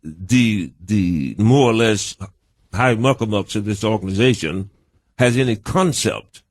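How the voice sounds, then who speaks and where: An older American man answering as an interview guest, halting and wordy, with folksy turns of phrase. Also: fairly low pitch, dry recording. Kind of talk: conversational, reminiscing interview answers.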